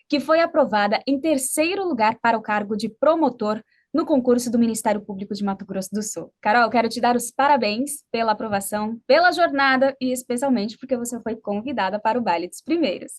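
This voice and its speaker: Female host, Brazilian accent, higher register, polite and articulate, structured interview delivery. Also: fairly quick, hardly any pauses.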